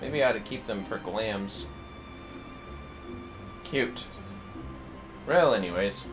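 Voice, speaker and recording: Man casually streaming a game over loud music. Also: lowish voice, expressive, takes it slowly. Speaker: livestreamer playing a fantasy video game. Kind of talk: gaming livestream commentary, casual chat.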